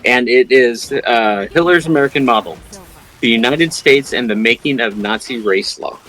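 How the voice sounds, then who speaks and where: A man in his thirties speaking in a measured way as a guest in a casual panel discussion. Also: mid-range voice, fairly bright tone, poor audio. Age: 30s.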